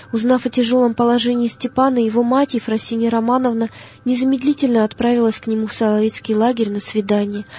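A woman reading a scripted biographical audiobook in a measured, solemn manner. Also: fairly high pitch, warm tone, slightly rough. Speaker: narrator.

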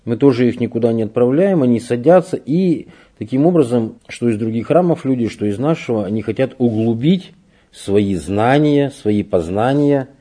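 A man working as a parish catechist, answering interview questions in an unhurried, explanatory way with frequent hesitations. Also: mid-range voice, animated, mellow. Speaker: church catechist. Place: parish room.

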